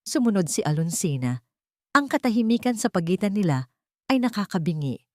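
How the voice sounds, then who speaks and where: A woman's voice: mid-range voice, sing-song, a little fast, no room sound, studio quality. A woman reading a scripted story as a dramatic narrator.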